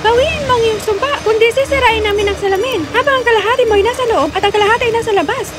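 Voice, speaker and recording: A woman voicing an earnest, spirited young princess in an expressive fairy tale cartoon delivery. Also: very high voice, rapid-fire. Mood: defiant.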